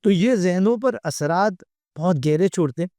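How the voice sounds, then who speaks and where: A man hosting an interview, putting his questions conversationally in measured, reflective phrases. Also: sits high, expressive, mellow, no room sound, studio quality. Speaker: interview host.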